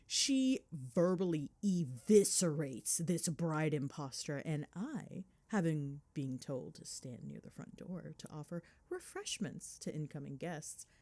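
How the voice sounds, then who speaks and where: Animated, low-pitched gossipy girlfriend played by a woman. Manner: animated, dramatic, sarcastic gossipy storytelling. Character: chatty, cheeky, affectionate girlfriend gossiping while driving home. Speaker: voice actor. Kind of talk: ASMR girlfriend roleplay, casual storytelling.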